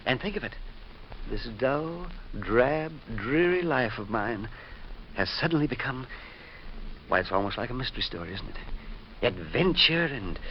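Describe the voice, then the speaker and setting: A man's voice: mid-range voice, animated, moderate pace, long pauses, lightly acted. A man acting in an old radio drama as a fussy, eccentric junk collector, effusive, rambling and very polite. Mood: excited, dramatic. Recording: dull, noisy vintage radio recording.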